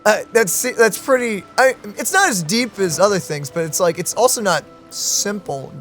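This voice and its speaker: A woman's voice: mid-range voice, expressive, normal speed, soft background music. A woman giving casual live reaction commentary as she streams a game.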